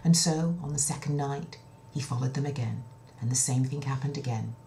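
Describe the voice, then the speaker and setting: A woman telling a children's story in an expressive, dramatic way, with breathy dips toward a whisper and a chatty, confiding touch. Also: extremely low pitch, moderate pace, a little hiss or hum. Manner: chatty asides to the listener. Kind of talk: children's story narration, told in own words.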